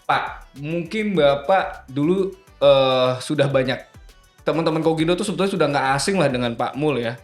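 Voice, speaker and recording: A man's voice: on the high side, moderate pace. Joking man hosting in a roomy room. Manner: joking, teasing, laughs often. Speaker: podcast host. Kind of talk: casual corporate podcast interview. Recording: roomy studio with faint music.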